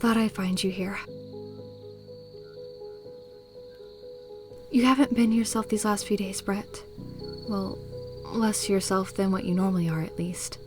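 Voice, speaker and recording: Woman acting a gentle, concerned loyal companion. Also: medium pitch, steady tone, normal speed, over music, lightly acted. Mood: concerned, teasing. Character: concerned, loyal female companion of a brooding ex-leader. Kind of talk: visual novel voice acting.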